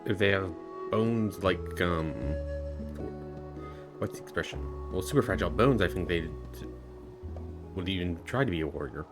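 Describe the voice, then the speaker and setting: A man's voice: low-pitched, moderate pace, long pauses. A man casually commenting while playing a video game, rambling and searching for words. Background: game music.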